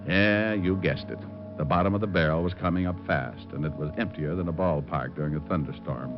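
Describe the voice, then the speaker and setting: Guy doing a wry american private eye, lowish voice, old muffled radio show with music. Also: a little fast, lightly acted. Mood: wry narration. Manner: sardonic, flippant, dry quips. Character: wisecracking, cool-headed male private detective narrating his case. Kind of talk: 1940s radio detective acting. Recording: band-limited vintage radio recording with music.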